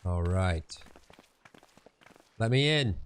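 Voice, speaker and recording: A man's voice: sits low, animated, extremely slow, clean tone. A man giving casual, joking let's-play commentary as he plays a video game. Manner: joking, colloquial asides. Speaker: streamer playing a video game.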